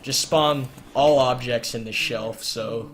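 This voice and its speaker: A man's voice: mid-range voice, steady tone, slow pace, a bit bright. A young man narrating a step-by-step game walkthrough in an explanatory manner, his voice strained and cracking from long talking. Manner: explanatory, repeats points, filler "uh". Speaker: speedrun guide narrator. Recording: noisy home recording with keyboard clicks.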